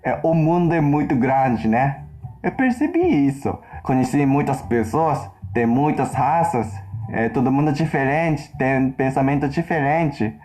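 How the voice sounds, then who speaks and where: Japanese man, mid-pitched, slow halting learner's delivery, soft music underneath. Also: lively intonation. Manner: halting learner's speech, searching for words. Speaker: interviewee in a played video. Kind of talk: casual personal account.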